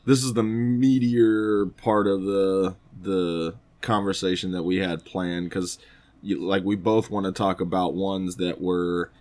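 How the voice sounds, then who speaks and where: A man's voice: low-pitched, flat delivery, unhurried, darkish tone. A man co-hosting a casual podcast, talking in a rambling, informal way. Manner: rambling, informal, mild swearing. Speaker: podcast co-host.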